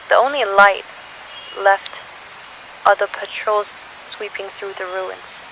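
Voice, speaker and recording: A woman playing a weary, sorrowful teenager hiding in post-apocalyptic ruins, speaking a halting, solemn audio diary. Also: mid-range voice, creaky, a bit bright, low-quality recording. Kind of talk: scripted audio diary monologue. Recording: hissy recording with faint noise.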